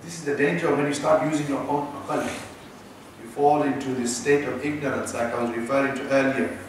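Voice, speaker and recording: A man's voice: medium pitch, fairly even intonation, a little fast, slight background noise. A man with a British accent, an imam giving an Islamic lecture and teasing his listeners with asides. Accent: British English. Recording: echoey room, voice from far off.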